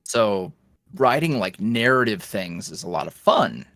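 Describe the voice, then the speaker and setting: Animated man chatting in a casual interview. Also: on the low side, unhurried, dry recording, clean studio sound. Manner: animated, jokey, slangy, self-deprecating. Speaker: podcast guest, audio roleplay creator.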